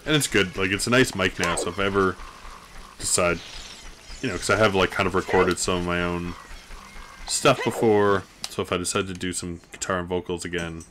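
A man with a very low, mumbling voice, casually thinking aloud while he streams a game from his room. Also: fairly quick, noisy background. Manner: thinking aloud, relaxed and rambling. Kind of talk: casual gameplay commentary. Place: home room at a desk. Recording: game music playing clearly beneath. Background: game music.

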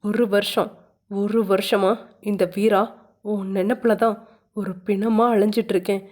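A woman voicing Veera, a furious, menacing young landlord man who shouts threats, with a mellow voice. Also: mid-range voice, level tone, a little slow, natural voice.

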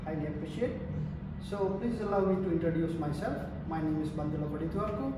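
A man's voice: a little high, expressive, brisk. A middle-aged man with a Sri Lankan accent giving an emphatic sales demonstration, repeating his phrases as he presents. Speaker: product presenter and distributor. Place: indoor presentation room. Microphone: distant camera microphone. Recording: very echoey room, distant mic, faint noise.

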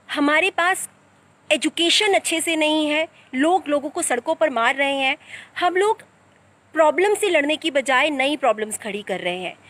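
A young woman with a high voice delivers a heated political commentary straight to a phone held at arm's length. She fires off rhetorical questions, outdoors among trees at night. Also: fairly bright tone. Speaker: social activist.

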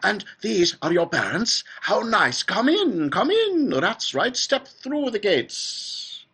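An eccentric, clever old gentleman from a children's storybook, bubbles over with delight. The voice is high, fluty and bright, leaping about in pitch. He gushes and repeats himself as he welcomes his guests. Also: huge pitch swings, slow, husky, exaggerated voice. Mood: gushing delight. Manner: gushing, exclamatory, repeating himself. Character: excitable, clever old male factory owner, effusively welcoming.

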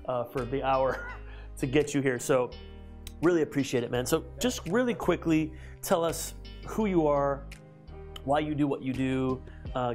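Energetic man hosting an office interview. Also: mid-range voice. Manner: energetic, talkative, many fillers and restarts. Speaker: host. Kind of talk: enthusiastic interview intro. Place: glass-walled office, indoors. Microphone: lapel mic.

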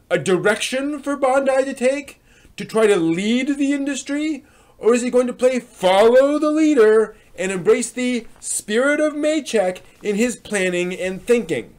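A man in his thirties hosting a dramatic reading of old online posts with spoken asides, theatrical and emphatic, recorded in a small room at home. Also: very high-pitched. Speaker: host.

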